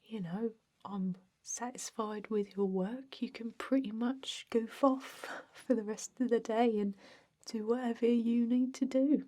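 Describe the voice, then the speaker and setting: A woman's voice: on the high side, steady tone, a little slow, mellow. Woman playing a whispering, laid-back vampire boss. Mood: casual, wry. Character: lonely, easygoing female energy-vampire office manager, casually predatory. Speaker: job interviewer. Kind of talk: whispered ASMR roleplay.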